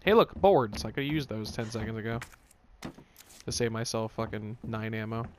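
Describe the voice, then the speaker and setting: A man's voice: lowish voice, moderate pace, a little hiss or hum. A man giving casual let's play commentary, muttering to himself in a wry, joking way and swearing now and then. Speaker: video game streamer.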